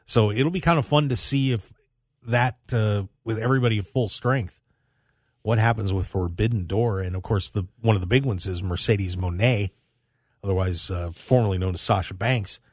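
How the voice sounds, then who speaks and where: Low-pitched man hosting sports talk. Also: moderate pace, no echo, clean studio sound. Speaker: radio show host. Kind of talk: casual sports talk, wrestling news.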